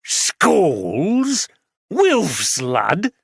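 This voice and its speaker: A man's voice: on the high side, wildly swooping pitch, very slow, gravelly, a bit bright, breathy edge, no echo, clean studio sound, voice pushed up, full character voice. A man voicing a blunt, grumbling brewery guide in his sixties with a broad Yorkshire accent. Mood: gruff. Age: 60s.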